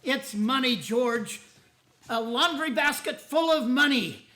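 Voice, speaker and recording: A man playing a jubilant, excitable old uncle in a vintage radio play, with drawn-out exclamations. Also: extremely high pitch, heavily acted. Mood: overjoyed.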